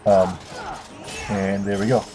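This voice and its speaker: A man's voice: on the low side, flat delivery, slow, low-quality recording. A young man giving casual, unscripted video game commentary in a hesitant, rambling, offhand way. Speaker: let's play commentator. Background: video game music.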